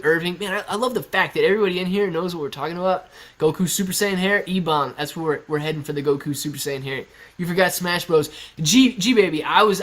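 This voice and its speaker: A man's voice: a little high, a bit bright. A man in his thirties hosting a casual live stream from his living room, reading viewer chat aloud, laid-back and chatty, laughing often. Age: 30s. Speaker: stock livestream host. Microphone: laptop on a kitchen stool at eye level.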